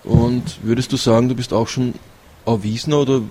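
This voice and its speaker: Radio host, male, middle pitch, friendly and prompting, light hiss and clicks on the line. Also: fairly even intonation, a little fast, warm tone. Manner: friendly, prompting questions with fillers. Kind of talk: live community radio chat.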